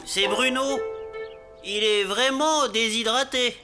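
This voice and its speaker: A man's voice: extremely high pitch, takes it slowly, over loud music. A man voicing an earnest, eager young animal paramedic sidekick in a children's cartoon.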